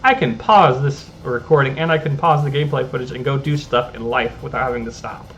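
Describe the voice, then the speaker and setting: A man's voice: mid-range voice, brisk, fairly bright tone, dull audio, a little hiss or hum. A man giving casual, rambling commentary over his own gameplay as a gaming channel host. He often restarts his sentences and talks at an easygoing pace. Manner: rambling, self-interrupting, easygoing.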